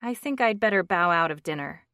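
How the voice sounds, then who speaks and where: A woman's voice: on the low side, animated, a little fast, bright, forceful tone, no echo. A woman voicing a frustrated woman in her thirties, wry and exasperated, in a dry, close reading. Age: 30s.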